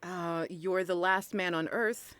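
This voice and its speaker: A woman's voice: on the low side, steady tone, slow pace, fairly bright tone, smooth. A young woman hosting a casual interview, bubbly and giggly as she reads out listener questions. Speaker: interviewer, host.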